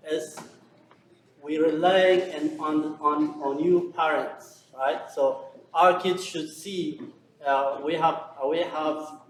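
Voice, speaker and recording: A middle-aged man addresses a community seminar in a halting way, stopping often on fillers and restarting his sentences. His voice sits a bit high for a man and sounds roomy, picked up from far off in a large hall. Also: fairly even intonation, slight background noise. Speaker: seminar organizer. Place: large room.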